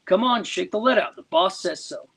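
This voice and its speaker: A man's voice: high voice, animated, normal speed, gravelly, a bit bright, slightly rough, voice pushed up, exaggerated voice. A man voicing a surly, threatening old male sea serpent in a recited verse tale.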